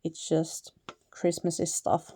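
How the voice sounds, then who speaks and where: Slow, mellow Finnish-accented woman crafter. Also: lowish voice, slightly rough, no echo, clean studio sound. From Finland. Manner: relaxed, chatty, laughs lightly, self-correcting. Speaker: paper crafter, channel host. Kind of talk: casual crafting tutorial voice-over.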